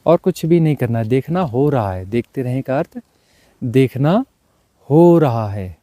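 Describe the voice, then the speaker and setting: A man leading a guided meditation as a teacher, speaking in unhurried, short, repeated phrases and mixing in some English words. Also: mid-range voice, expressive, mellow, no room sound. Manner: mixes English words into Hindi.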